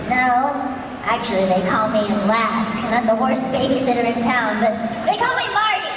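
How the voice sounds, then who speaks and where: A woman's voice: on the high side, animated, normal speed, natural voice. A woman voicing a mean, bratty teenage cartoon babysitter in an exaggerated whiny, sneering manner. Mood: bratty, startled. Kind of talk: live comedic cartoon-voice table read. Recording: echoey convention hall, distant, noisy crowd.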